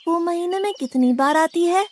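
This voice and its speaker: A woman's voice: very high voice, normal speed, a little mellow, no room sound. A woman voicing a naive, blunt, stubborn young village lad with a big appetite in a moral story. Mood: questioning. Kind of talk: animated moral story dialogue in Hindi.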